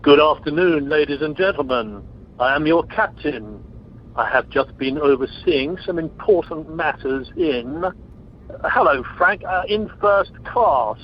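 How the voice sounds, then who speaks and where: A man's voice: fairly high pitch, animated, measured pace. A man playing a breezy, self-important and bumbling airline captain in an amateur comedy radio play. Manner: pompous, bumbling announcer patter. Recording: muffled conference-call line with noise.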